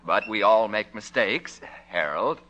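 An actor playing a self-important, blustering small-town mayor in a 1950s radio comedy. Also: male voice, fairly low pitch, animated, a little slow, pushed and bright, low-quality recording. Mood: sheepish, persuading. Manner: pompous, blustering.

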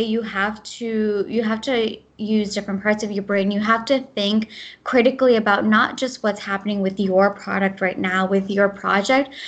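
Young woman answering interview questions. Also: mid-range voice. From United States (Ohio). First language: Gujarati. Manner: fast, with fillers and restarts. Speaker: guest.

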